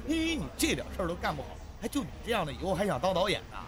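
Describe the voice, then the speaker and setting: A man's voice: high-pitched, huge pitch swings, a crowd in the background. A man playing a hot-tempered, domineering film director in an audio drama, given to scolding and bossing. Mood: angry. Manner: scolding, rapid-fire. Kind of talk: Chinese audio drama acting.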